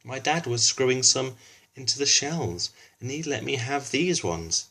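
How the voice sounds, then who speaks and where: A man's voice: medium pitch, big pitch swings, a little slow, creaky, sharp tone, pitched above the performer's own voice, exaggerated voice. A man voicing an innocent, matter-of-fact young schoolboy in a children's storybook. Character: young schoolboy Finley, innocent, literal-minded, dreamy.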